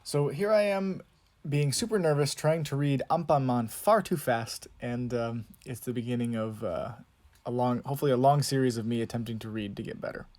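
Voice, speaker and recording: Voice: medium pitch, moderate pace, darkish tone. A channel host chatting casually through a game, upbeat and self-deprecating, full of fillers like "um" and "like". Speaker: channel host and Japanese learner. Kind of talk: casual let's-play narration, reading game text aloud.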